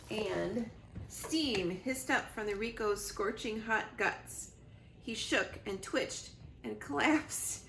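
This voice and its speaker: A woman reading a children's storybook aloud with expressive narration, recorded in a roomy living room. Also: fairly high pitch, creaky. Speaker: reader, school librarian or teacher. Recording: roomy echo, faint background noise.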